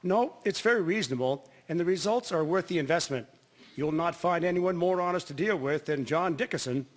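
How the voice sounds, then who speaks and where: A man's voice: on the high side, brisk, a little hiss or hum, close to an everyday voice. A man playing a confident farmer giving earnest, helpful advice in a period radio drama. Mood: assured. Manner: earnest, assured.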